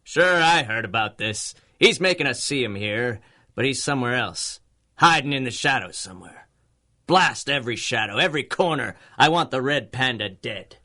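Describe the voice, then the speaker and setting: A man's voice: medium pitch, animated, moderate pace, sharp tone. An actor playing a short-tempered, swaggering gangster boss with slangy tough-guy talk in a 1930s-style radio drama. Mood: furious.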